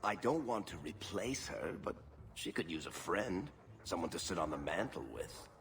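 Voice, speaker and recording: Roguish game sidekick, deep, gravel-voiced, wry, affectionate, ambient noise. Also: male voice, big pitch swings, moderate pace, a bit bright, exaggerated voice. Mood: mock-wounded fondness. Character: wry, affectionate, roguish male adventuring companion. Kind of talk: video game voice acting.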